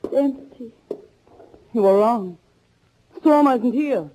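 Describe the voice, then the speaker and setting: An actress playing a vengeful, bereaved bride with steely intensity, speaking in melodramatic, deliberate 1940s radio thriller style. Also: female voice, fairly high pitch, animated, dark tone, poor audio. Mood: tense, uneasy. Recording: old 1940s radio recording.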